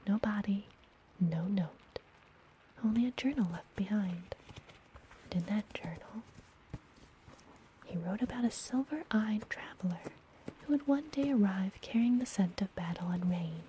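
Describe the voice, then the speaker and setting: Slow whispering witch healer, acted by a woman. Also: medium pitch, slight background noise, natural voice, rough recording. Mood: mysterious, teasing. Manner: soothing, unhurried, softly whispered. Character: friendly, mysterious woodland witch healer, gently teasing. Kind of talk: whispered ASMR fantasy roleplay.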